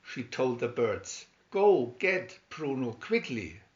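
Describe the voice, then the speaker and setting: A man voicing a gentle, kind young woman who pleads earnestly in a storybook fairy tale reading. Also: mid-range voice, very animated, slow, echoey room, lo-fi sound. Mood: desperate. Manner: earnest, imploring.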